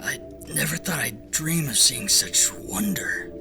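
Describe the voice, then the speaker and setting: A man playing an awestruck, smitten sailor in an audio drama, speaking in a breathy, gravelly half-whisper under music. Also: medium pitch, sing-song, a little slow. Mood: awed.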